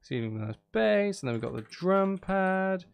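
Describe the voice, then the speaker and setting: A man's voice: high-pitched, sing-song, moderate pace, gravelly, clear, resonant tone. A man streaming casually, thinking aloud and repeating phrases to himself as he works. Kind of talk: casual live-stream commentary while making music. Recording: loud music behind the voice. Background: music being composed, guitar and instruments.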